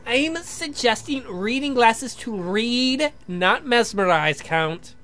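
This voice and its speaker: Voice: medium pitch, lively intonation, takes it slowly, fairly bright tone. A performer voicing a patient young male scientist in a visual novel, explaining things in a formal, precise, pedantic way.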